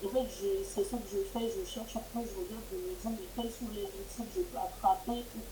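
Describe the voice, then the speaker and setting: A woman's voice: medium pitch, steady tone, fairly quick, roomy sound, background noise. Earnest young woman from French Guiana. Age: 20s. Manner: earnest, searching for words. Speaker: master's student interviewer. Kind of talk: casual interview conversation.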